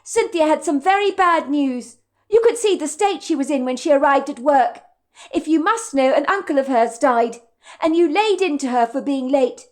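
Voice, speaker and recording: A young waitress voiced by a woman speaks up high and strained, earnest and emotional. Her voice sits well above an already high reading voice. It is a storybook character read aloud. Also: lively intonation, normal speed, a little mellow. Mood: defiant. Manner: high, pleading, tearful delivery.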